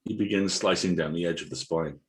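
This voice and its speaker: A man with a low, husky voice narrates a script. He reads out stage directions for an audio drama. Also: a little fast.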